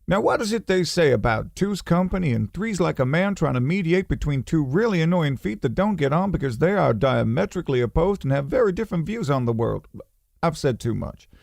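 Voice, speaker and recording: A man's voice: a little high, big pitch swings, fairly quick, gravelly, darkish tone, dry recording, clean studio sound, exaggerated voice. A man playing a chatty, distracted narrator of a comedy radio play, rambling and self-effacing with wry muttered asides.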